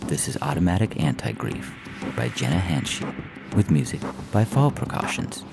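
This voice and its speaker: Deep gravelly male podcast host. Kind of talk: scripted narration of podcast credits.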